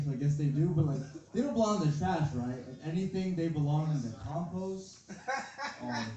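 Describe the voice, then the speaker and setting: A man from China doing a stand-up set, tipsy after a few beers, working the crowd loudly with lots of filler words. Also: fairly high pitch, highs cut off. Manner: loud, brash crowd work with frequent "like" fillers. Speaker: stand-up comedian. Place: comedy club stage. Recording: echoey room, recorded from a distance, lively crowd.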